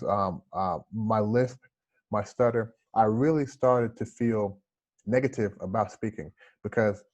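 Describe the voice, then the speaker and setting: A man's voice: lowish voice, darkish tone, breathy edge, dull audio. A man in his forties with a slight lisp, an interview guest telling his story in an earnest, reflective way over a video call from home. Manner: earnest, reflective, gestures with hands.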